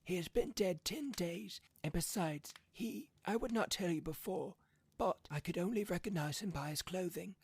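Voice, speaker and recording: A man's voice: high voice, moderate pace, husky, voice pushed up. A man voicing a fearful old husband and father in a story reading, shaky and protesting in alarm. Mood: shaken, pleading.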